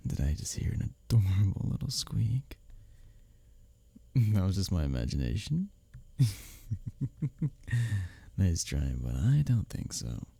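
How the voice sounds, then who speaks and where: A man's voice: low voice, sing-song, a little slow, vocal fry, pauses often, mellow, slightly rough, no echo, exaggerated voice. A man playing a bickering but secretly caring workplace rival in an intimate ASMR audio roleplay, with a teasing, sardonic manner. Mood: smug teasing. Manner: intimate, teasing, sardonic, chuckling between lines. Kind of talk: ASMR audio roleplay, one-sided dialogue.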